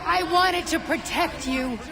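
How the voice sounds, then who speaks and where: High-voiced sorrowful ghostly mother. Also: measured pace, creaky, heavy background noise, exaggerated voice. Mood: anguished. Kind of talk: video game cutscene acting.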